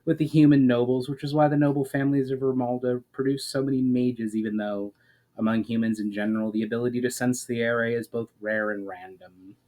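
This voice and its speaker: A man voicing a grave, ancient elf in a fantasy storybook reading, speaking in a measured, formal, explanatory way. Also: mid-range voice, steady tone, warm tone, natural voice. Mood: grave, revealing.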